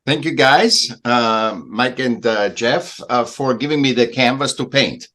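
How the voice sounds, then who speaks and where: A man from Vienna with an Austrian accent, a native German speaker, talking casually as a podcast guest in a halting, emphatic way. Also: medium pitch, a bit bright. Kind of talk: casual conversational interview.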